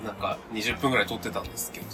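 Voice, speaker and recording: A man co-hosting a podcast in casual chat with friends, playful and quick to break into laughter as he talks. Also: fairly low pitch, fairly even intonation, over music. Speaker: podcast co-host.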